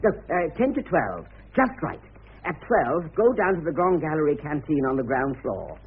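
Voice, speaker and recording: A man's voice: on the high side, big pitch swings, normal speed, husky, narrow, tinny audio, exaggerated voice. An actor playing a glib, exuberant, scheming publicity man in a radio drama, theatrical and persuasive. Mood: excited. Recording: band-limited old radio broadcast.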